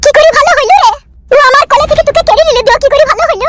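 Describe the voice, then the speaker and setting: A woman voicing a jealous, scolding village wife in a comedy cartoon, with a pitched-up voice. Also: very fast. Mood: furious, scolding. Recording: loud music behind the voice.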